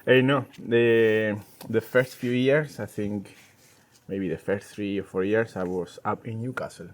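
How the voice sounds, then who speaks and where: A middle-aged man with an Argentine accent, a native Spanish speaker, chatting casually and haltingly as a tango dancer being interviewed. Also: fairly low pitch, steady tone. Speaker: professional tango dancer and teacher, interview guest. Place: outdoors in a garden. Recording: noisy outdoor ambience.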